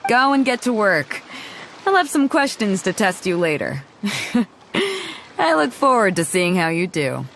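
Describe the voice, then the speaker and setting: A woman's voice: medium pitch, very animated, unhurried, gravelly, pauses often, fairly bright tone, a little hiss or hum, full character voice. An actress voicing a bold, confident female pirate captain in a game cutscene, hearty and commanding with a teasing edge. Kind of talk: video game cutscene dialogue.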